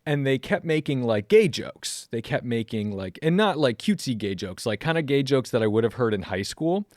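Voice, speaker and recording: A man hosting a podcast interview, talking earnestly in a casual, animated way and acting out other people's remarks. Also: mid-range voice, normal speed, no room sound, studio quality. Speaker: podcast host.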